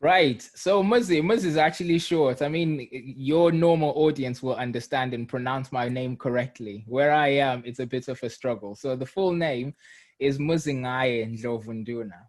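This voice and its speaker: A man in his thirties from Zimbabwe talks through his story as an interview guest. He speaks in a mid-range voice, reflective and unhurried, with plenty of fillers. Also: mid-range voice, a little mellow. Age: 30s. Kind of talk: casual interview.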